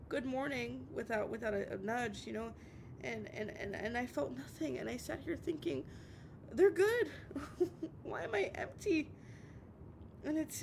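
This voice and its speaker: A woman playing an obsessive, heartbroken young woman on a late-night call, stammering and repeating herself in a dramatic audio roleplay monologue. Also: vocal fry. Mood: rambling, wounded, then anguished. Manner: halting, stammering, repeating words. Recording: noisy with low rumble.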